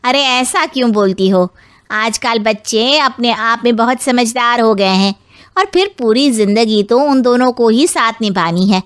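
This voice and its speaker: A woman plays a sensible older woman in a family moral story, with a high voice in a dry recording. She reasons with her friend in a reassuring, persuasive way. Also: dry recording.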